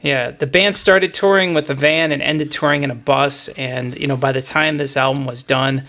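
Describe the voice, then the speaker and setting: A man's voice: mid-range voice, fairly even intonation, normal speed, bright, forceful tone. A middle-aged man hosting a music podcast, talking casually and explaining things in a reflective way.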